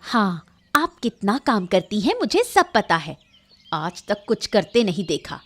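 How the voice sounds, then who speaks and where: A woman's voice: mid-range voice, big pitch swings, unhurried, a bit bright, breathy edge, soft background music, heavily acted. A woman voicing a blunt, practical village daughter-in-law in a cartoon story. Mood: scornful, nagging.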